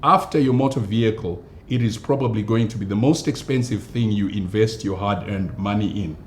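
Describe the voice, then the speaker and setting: A man, a pastor and actor, answering interview questions in a measured, hesitant way with many fillers. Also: lowish voice. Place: studio. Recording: echoey studio with faint noise.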